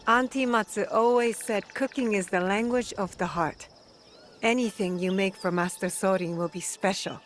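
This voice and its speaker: A woman playing a compassionate warrior and guiding big sister in a video game, calm and reassuring. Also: mid-range voice, moderate pace, slight background noise, lightly acted. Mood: gentle. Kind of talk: video game cutscene acting.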